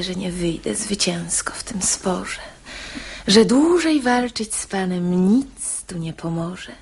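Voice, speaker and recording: An actress playing a shrewd married lady feigning reluctance in a radio verse comedy, coy and measured in theatrical delivery. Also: mid-range voice, a little mellow, husky. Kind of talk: classical stage comedy radio acting in verse.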